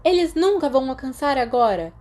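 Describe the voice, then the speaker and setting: Woman acting excitable, nervous Brazilian teenage boy, raised pitch. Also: a little fast. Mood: triumphant. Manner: stammering, excitable. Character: teenage schoolboy, nervous, eager, excitable friend.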